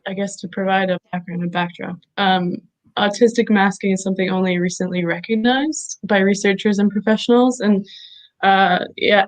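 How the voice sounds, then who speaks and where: A woman's voice: mid-range voice, rough recording. A woman hosting an online video talk, friendly and hesitant, with many ums. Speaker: talk host. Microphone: video call.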